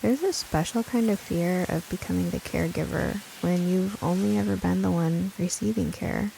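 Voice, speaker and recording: A woman narrates a story in the first person, expressive and deliberate. Her voice is low and mellow with a creaky edge, and faint rain and hiss sit behind it. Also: rough recording. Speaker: narrator of an audio drama.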